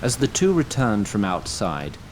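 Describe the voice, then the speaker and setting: A man's voice: lowish voice, huge pitch swings, a little slow, noisy background. A man reading scripted storytelling narration as the narrator of an audio drama.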